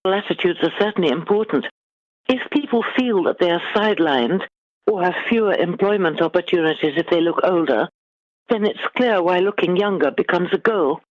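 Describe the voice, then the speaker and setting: A woman's voice: on the low side, dry recording. Articulate British woman, slightly dull recording. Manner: articulate, measured, formal phrasing. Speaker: guest author. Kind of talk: literary interview, considered answers.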